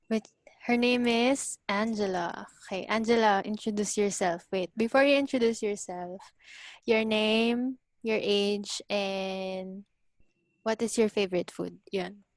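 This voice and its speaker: A woman's voice: medium pitch, dry recording. A nineteen-year-old woman from the Philippines hosting a casual podcast chat, hesitant and giggly, switching between English and Filipino. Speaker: podcast host.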